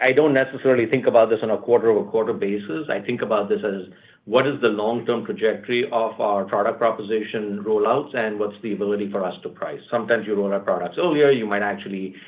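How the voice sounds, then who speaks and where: A man with a fairly low voice rattles through his answers at speed, repeating words as he goes. He is a company executive fielding questions on an earnings call. The sound is thin and tinny, as over a phone line. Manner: fast, repeats words, leans on "look" and "at the end of the day".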